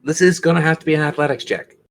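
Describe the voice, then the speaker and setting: A man acting as game master, narrating and refereeing a tabletop game in a suspenseful, drawn-out way. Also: on the high side.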